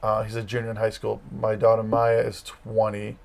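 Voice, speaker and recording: A man's voice: fairly low pitch, completely flat delivery, normal speed, creaky, darkish tone. Middle-aged man with a Massachusetts accent. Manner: rambling, storytelling, many fillers. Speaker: campus ministry leader, guest. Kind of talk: casual interview chat.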